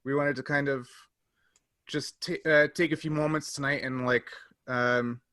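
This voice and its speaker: A man's voice: mid-range voice, steady tone, measured pace, bright, forceful tone, no room sound, clean studio sound. A man hosting a casual podcast discussion in an informal, somewhat hesitant way. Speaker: podcast host and player.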